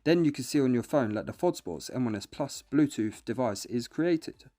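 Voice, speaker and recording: A man's voice: medium pitch, lively intonation, normal speed, mellow. A man reading a scripted product review in an instructional, step-by-step way. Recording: music bed behind voice. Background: music.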